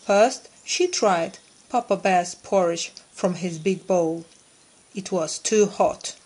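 A woman narrating a scripted children's story, phrasing it deliberately for English learners. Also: fairly low pitch, a little mellow, slightly rough.

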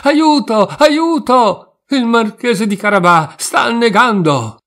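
A man's voice: extremely high pitch, animated, slow, a bit bright, voice pushed up, exaggerated voice. A man voicing a clever, sly male talking cat in a fairy tale reading, speaking earnestly and persuasively. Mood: frantic.

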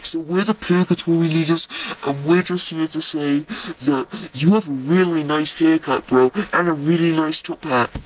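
A man's voice: a little high, steady tone, normal speed, a little hiss or hum, natural voice, poor audio. A man playing a laid-back purgatory leader trying to sound cool, slangy and hesitant, in an amateur cartoon. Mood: friendly, awkward. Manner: slangy, bro-heavy, hesitant.

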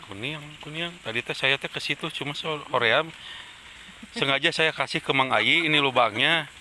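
A man's voice: a little high, moderate pace, low-quality recording. A man chatting and joking while fishing for eels outdoors, recorded on a handheld camera. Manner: joking, excited. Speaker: fishing vlogger. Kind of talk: casual outdoor chat. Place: outdoors by rice paddy ditch. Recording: outdoor ambience, faint noise.

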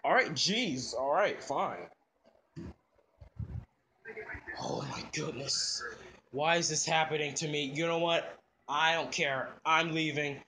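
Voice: medium pitch, a little slow, pushed and bright, slight background noise, low-quality recording. A performer voicing a grumpy Koopa brother in a toy skit, muttering curtly and dismissively. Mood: fed up.

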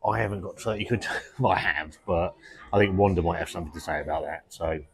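A man's voice: low voice, fast, creaky, breathy edge. A man in his forties, seated in a pub, chatting casually and enthusiastically about beer, with lots of ums and restarts. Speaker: beer reviewer. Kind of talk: casual vlog review. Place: indoor pub, seated at table.

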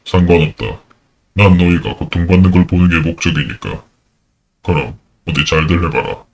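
A cold, very deep game master. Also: male voice, normal speed, gravelly. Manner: cold, commanding announcements. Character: menacing unseen male game master M. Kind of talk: audio drama acting.

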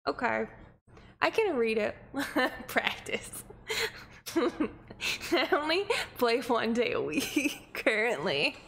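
A young woman with a hoarse, nearly lost voice, chatting casually on a live stream in a small room at home. She rambles and giggles, with lots of fillers. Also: high voice, big pitch swings, extremely slow, long pauses, a bit bright. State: hoarse, voice mostly gone. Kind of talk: casual live-stream chat between songs. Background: her own ukulele strumming.

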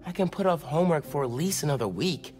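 Male actor as teenage boy superhero, fairly high lively voice, earnest, awkward, hesitant, game cutscene, music behind. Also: fast, natural voice. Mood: awkward, gentle. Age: young adult. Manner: hesitant, stammering when flustered. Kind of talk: naturalistic video game acting.

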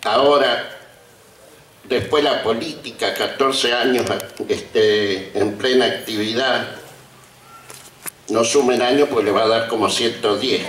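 Older man, Argentine accent, slow halting speech, moved, reminiscing, roomy distant microphone sound. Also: mid-range voice, a bit bright, a little hiss or hum. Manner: halting, reminiscing, with deliberate pauses. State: emotional, trembling. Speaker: retired teacher and honoree giving a speech. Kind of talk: ceremonial acceptance speech. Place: outdoor colonial courtyard before a seated audience. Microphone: podium microphones on stands.

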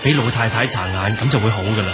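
An actor playing a modest, apologetic poor husband in a radio drama, speaking deferentially. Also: male voice, fairly low pitch, quick pace, natural voice. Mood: humble. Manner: humble, deferential. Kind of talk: Cantonese radio drama acting. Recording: loud music behind the dialogue.

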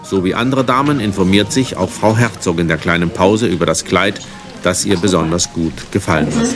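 A man narrating a scripted TV crime film in a measured, factual manner. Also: deep voice, over music.

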